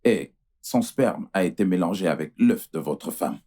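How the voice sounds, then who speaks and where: A man's voice: low voice, lively intonation, normal speed, mellow, husky. A man playing an apologetic fertility doctor, formal, hesitant and placating, in dubbed film dialogue. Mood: grave, hesitant.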